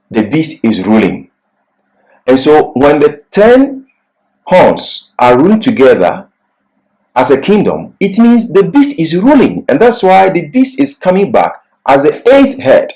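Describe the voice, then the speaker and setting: A man giving a scripted Bible lecture as a teacher, with deliberate, emphatic phrasing and pauses for explanation. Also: mid-range voice, dark tone, poor audio.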